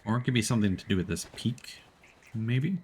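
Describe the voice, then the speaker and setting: A man's voice: fairly low pitch, lively intonation, a little fast, a little mellow, slightly rough. A man streaming a game, chatting casually and thinking aloud in hesitant, unfinished fragments. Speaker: live streamer. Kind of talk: casual gameplay chat.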